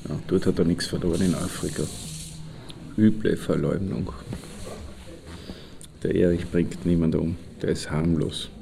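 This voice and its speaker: A man's voice: low-pitched, steady tone, a little slow, creaky, long pauses, background noise. A man playing a blunt, loyal pal making short matter-of-fact remarks in a radio collage. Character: blunt male pal standing up for his friend. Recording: music behind the voice. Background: music.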